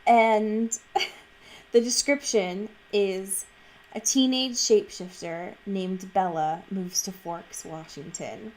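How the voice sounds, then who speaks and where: A woman co-hosting a podcast in casual banter, playful, slangy and quick to swear. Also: medium pitch, steady tone, darkish tone, poor audio. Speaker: podcast co-host.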